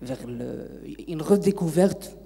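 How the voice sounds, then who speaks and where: A man's voice: fairly high pitch, lively intonation, slow pace, creaky, mellow, breathy edge. A man whose first language is Arabic, an audience member asking an earnest, hesitant question at a conference. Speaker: audience member and evening-course teacher. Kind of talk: question from the floor. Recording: long reverb of a lecture hall.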